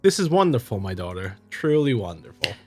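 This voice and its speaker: A man's voice: fairly low pitch, sing-song, a little fast, darkish tone, husky, soft background music. A man voicing a middle-aged prioress in a visual novel, effusive, pious and politely apologetic. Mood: gushing.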